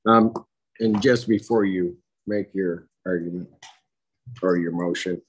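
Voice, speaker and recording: A middle-aged man speaking as a judge, formal and measured, with halting phrasing as he rules from the bench. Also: low-pitched, fairly even intonation, a little hiss or hum. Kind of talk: formal court proceedings, ruling from the bench. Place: courtroom bench.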